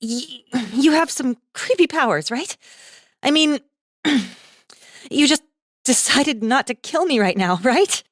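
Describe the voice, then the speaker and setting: A woman's voice: high-pitched, lively intonation, slow pace, dry recording, clean studio sound, pitched above the performer's own voice, heavily acted. A woman voicing a nineteen-year-old college girl, nervous and flippant, with hesitant, rambling, slangy speech. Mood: unsteady, nervous.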